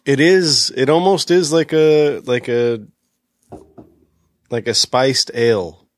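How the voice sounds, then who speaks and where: A man on a casual beer podcast, talking through the taste of a beer with thoughtful pauses. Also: mid-range voice. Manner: thoughtful, describes flavors. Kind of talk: casual chat.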